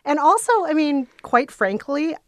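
A woman's voice: sits high, lively intonation, measured pace, no echo, clean studio sound. A woman podcast co-host chatting casually as an interview guest, frank and earnest. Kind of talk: casual chat.

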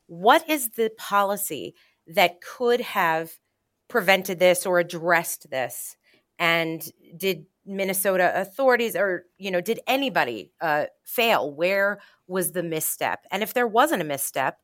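A woman speaking as a journalist guest in an interview, giving measured, analytical explanations. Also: lowish voice, fairly bright tone, no room sound, studio quality. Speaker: interview guest, journalist covering gun violence. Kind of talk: explanatory radio interview answers.

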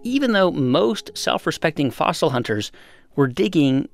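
Lively, gravelly-voiced male podcast host. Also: a little high, measured pace, faint music behind. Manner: lively, explanatory storytelling. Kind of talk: scripted narration and interview.

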